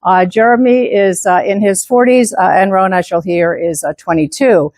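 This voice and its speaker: A woman's voice: medium pitch, steady tone, moderate pace, a little mellow, no echo. Older New woman giving a talk. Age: 70s. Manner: many filler words and restarts; former teacher who moves about while speaking. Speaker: section manager giving a club presentation. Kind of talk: conference talk.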